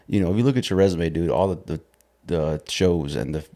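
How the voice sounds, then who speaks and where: A man's voice: low voice, brisk, dark tone. A man hosting a podcast, laid-back and casual, swearing often and calling his guest bro and dude. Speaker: podcast host.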